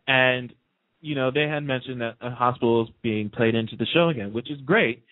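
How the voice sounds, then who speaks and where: A man's voice: mid-range voice, a little fast, no room sound. A man calling in to a radio show, chatting casually and emphatically as an opinionated fan, repeating phrases to drive his points home. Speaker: call-in guest on a radio show. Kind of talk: casual soap opera fan discussion. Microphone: phone. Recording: muffled, band-limited phone call.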